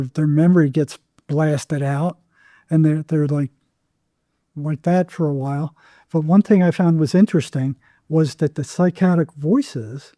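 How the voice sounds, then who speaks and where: An older man telling his experiences conversationally as an interview guest, in a halting way with repeated words. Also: on the high side, mellow, no echo, studio quality. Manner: halting, repeats words, acts out remembered exchanges. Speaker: psychotherapist guest.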